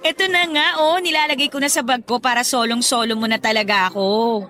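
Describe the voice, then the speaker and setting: A young breadwinner daughter in a Tagalog radio drama. She is respectful and caring, with a clearly high, bright voice. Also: a little fast. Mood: sweet, apologetic.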